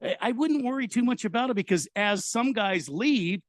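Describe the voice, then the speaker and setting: A man's voice: very high voice, lively intonation, moderate pace, no room sound, studio quality. A man hosting a live sports talk radio show, chatty and full of hesitant fillers and restarts.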